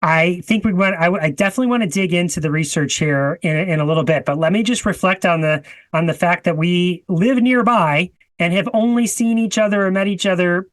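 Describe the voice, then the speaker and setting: A man's voice: high-pitched, brisk, hardly any pauses, no echo. A man hosting a casual interview, chatty, with many restarts and easy laughter. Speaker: podcast host.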